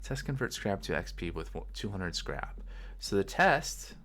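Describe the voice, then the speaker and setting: A man giving casual think-aloud commentary as a game developer on a coding stream, halting and muttering to himself as he works. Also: sits low, big pitch swings, fairly bright tone.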